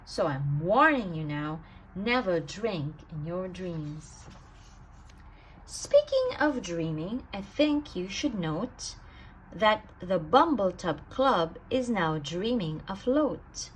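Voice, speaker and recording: A woman reading a rhyming children's bedtime story aloud in an expressive, sing-song way with emphatic stresses. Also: lowish voice, slow, slight background noise, poor audio. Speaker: storybook reader.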